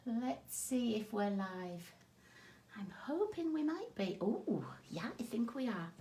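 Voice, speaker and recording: A woman's voice: fairly high pitch, big pitch swings, slow pace, mellow. A woman with a scratchy sore throat, hosting a casual live craft tutorial at her desk and greeting viewers by name. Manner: chatty, greets viewers by name, self-deprecating. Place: craft desk indoors, overhead camera on hands.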